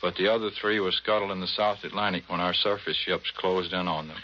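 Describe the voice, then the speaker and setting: Low-voiced American naval officer, vintage radio. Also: male voice, steady tone, fairly quick, pushed and bright. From United States. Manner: modest, matter-of-fact. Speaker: Navy patrol squadron commander being interviewed. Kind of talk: 1940s scripted radio interview. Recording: muffled, slightly noisy 1940s radio.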